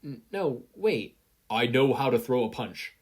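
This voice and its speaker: Someone reading as an eager teen hero boy, excitable, swoopy pitch, a bit husky. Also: unhurried. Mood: excited.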